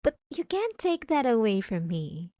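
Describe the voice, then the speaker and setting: A woman playing a possessive, obsessive wife in an intimate ASMR roleplay, pleading and defensive, coaxing with halting, stammered self-corrections. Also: a little high, big pitch swings, warm tone, no echo, studio quality. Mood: pleading, self-justifying. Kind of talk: ASMR roleplay drama, intimate monologue to listener.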